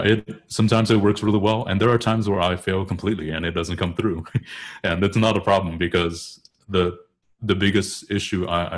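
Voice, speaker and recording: Male interview guest, deep-pitched, informal and explanatory, thinking aloud with halting rephrasing. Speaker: guest singer and choral bass.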